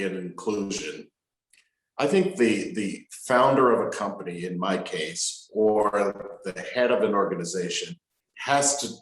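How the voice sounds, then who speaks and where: A low-voiced man in his sixties speaks on a video call panel, measured and halting, pausing on fillers. His voice carries a noticeable echo from the room around him. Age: 60s. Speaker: company owner and panelist. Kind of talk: online panel discussion.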